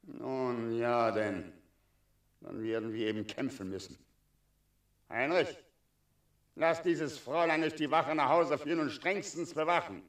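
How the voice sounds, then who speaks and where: Imperious dragon played by a man, slow and echoing. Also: medium pitch, recorded from a distance, natural voice. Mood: commanding, threatening. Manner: commanding, scornful. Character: imperious, menacing male dragon who commands servants. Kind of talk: German radio play acting.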